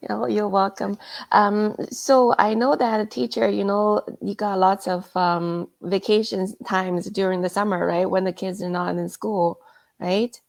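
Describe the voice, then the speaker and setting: A woman hosting a live online interview chat, speaking in a hesitant, halting way. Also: mid-range voice, vocal fry, no echo. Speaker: host interviewer.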